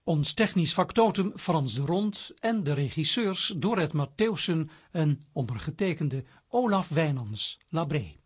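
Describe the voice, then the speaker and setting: A man reading formal, measured closing credits for a radio drama series as its director. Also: on the high side, expressive, husky. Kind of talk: scripted radio announcement.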